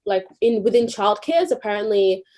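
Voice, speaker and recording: A woman's voice: mid-range voice, expressive, normal speed, mellow. Thoughtful young Australian woman. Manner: thoughtful, hedging. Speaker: podcast co-host. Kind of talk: casual chat.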